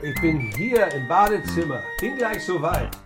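An actor playing a kindly, proud, unhurried uncle in a children's radio play. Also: male voice, a little high, sing-song, loud music behind the voice. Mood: proud, cheerful.

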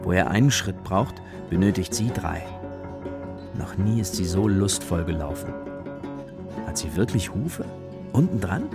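A male narrator tells a scripted children's story in a fairly deep voice with marked creak. Plucked guitar music plays clearly behind him. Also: lively intonation, unhurried, pauses often.

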